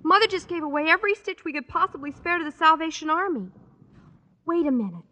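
Girlish, eager teenage daughter, animated delivery, 1940s radio sitcom with hollow reverb. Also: very high-pitched, quick pace, full character voice. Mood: helpful, earnest. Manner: bubbly, eager. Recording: hollow old radio broadcast.

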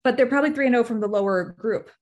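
A woman's voice: on the high side, rapid-fire, a little mellow, slight background noise. A woman on a podcast panel explaining things analytically and precisely in casual conversation. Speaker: podcast guest.

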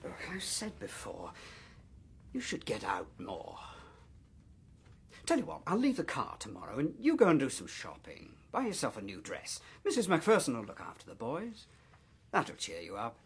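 Husky, impatient British husband. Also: male voice, mid-range voice, huge pitch swings, full character voice. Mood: fed up. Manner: brisk, patronising. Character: impatient, dismissive career-minded husband and father. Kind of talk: British radio drama acting.

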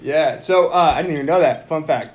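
A man in his thirties from Mexico, co-hosting a podcast in a loud, jokey, casual chat and laughing often. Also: fairly high pitch, a little fast. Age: 30s. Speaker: podcast co-host.

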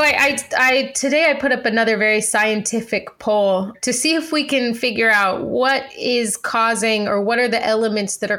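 Woman, podcast co-host, medium pitch, articulate, dry wit, conversational market discussion. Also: a bit bright.

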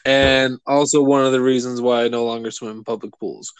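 A man co-hosting a casual podcast, rambling and animated, swearing freely. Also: medium pitch, brisk, clean tone, dry recording. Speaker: podcast co-host. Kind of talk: casual chat.

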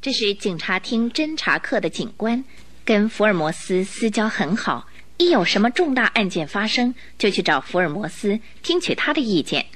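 A woman narrating a radio drama in an expressive, scripted storytelling style. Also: medium pitch, normal speed.

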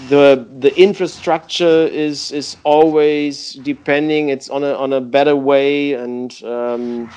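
A man, a youth football coach, answering interview questions in halting English with many pauses. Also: mid-range voice, flat delivery, a little mellow, smooth.

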